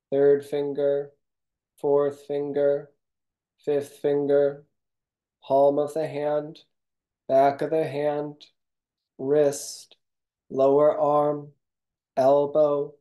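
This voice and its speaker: Yoga nidra guide, calm and instructional, deeply relaxed tone with little pitch movement, reading a script in measured phrases.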